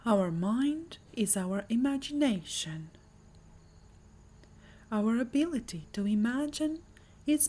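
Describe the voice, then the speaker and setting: A woman with a soft, breathy voice narrating a guided meditation in a soothing, measured manner. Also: a little high. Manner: soothing, measured, breathy delivery with lingering pauses.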